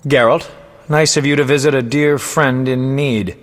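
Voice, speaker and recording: Game bard, male actor: medium-pitched, flowery and flippant storyteller, music underneath. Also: steady tone, normal speed, natural voice. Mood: relieved, wry. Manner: wry, flowery. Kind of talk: video game voice acting.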